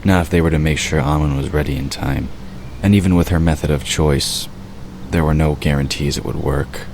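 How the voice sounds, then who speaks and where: Super deep guy narrating a fantasy story, calm, hissy recording. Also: fairly quick, creaky. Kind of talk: scripted audio drama narration.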